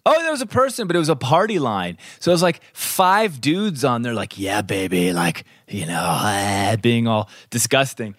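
A man's voice: medium pitch, expressive, moderate pace, few pauses, a bit bright, no echo, studio quality. A man co-hosting a podcast in casual chat, teasing and playful, laughing often. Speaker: podcast co-host.

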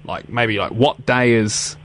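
A man's voice: medium pitch, steady tone, slow pace, a bit bright. A man with a New Zealand accent chatting casually on the radio, patient, often restarting his phrases. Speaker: radio presenter, now interview guest.

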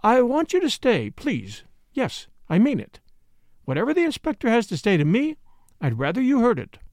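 A man's voice: extremely high pitch, wildly swooping pitch, moderate pace, a little mellow, dry recording, clean studio sound, voice pushed up, full character voice. A man voicing a proud, troubled young upper-class woman in an audiobook mystery, speaking intensely in short clipped phrases. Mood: insistent.

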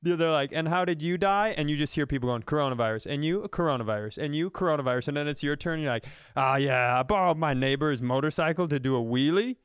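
A man's voice: fairly high pitch, fast talker, hardly any pauses, clean tone, dry recording, studio quality. A man co-hosting a podcast, telling jokey, profane stories in a casual chat and acting out bits of dialogue. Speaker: podcast co-host. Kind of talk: casual comedic chat and storytelling.

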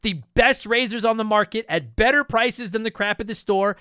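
A man's voice: high voice, moderate pace, sharp tone, clear, resonant tone, dry recording, studio quality. A man reading a host-read sponsor ad for his podcast, emphatic and salesy.

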